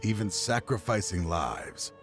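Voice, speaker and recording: An actor playing a solemn, guilt-ridden older father in a video game, speaking in a measured, weary way. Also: fairly low pitch, animated, a bit bright. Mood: grief-stricken, grave. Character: grieving, guilt-ridden older father, resolute former official. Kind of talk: dramatic video game dialogue. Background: game music.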